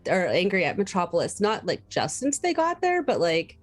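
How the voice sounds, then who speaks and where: A woman playing a tabletop roleplaying game, explaining her character casually in a halting, thoughtful way. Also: on the low side, wildly swooping pitch. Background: music.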